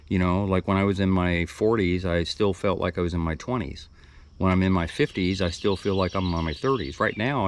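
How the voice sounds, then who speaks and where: Man, mid-sixties, very low voice, casual reflective vlog delivery, wanders and restarts mid-thought. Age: 60s. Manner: rambling, thoughtful, with restarts and trailing asides. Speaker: video blogger.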